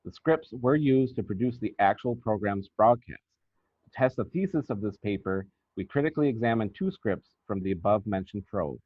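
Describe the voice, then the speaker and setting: A man's voice: lowish voice, animated, moderate pace, no echo. A man reading a scripted academic paper aloud, on a muffled, narrow-band recording. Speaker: academic researcher presenting a paper.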